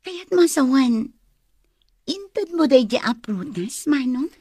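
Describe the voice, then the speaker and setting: A young Ilocano schoolteacher in a radio drama, her voice very high and soft-toned. She is sweet and gentle, taking her words slowly. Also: big pitch swings, husky, no echo, clean studio sound, heavily acted. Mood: friendly, amused.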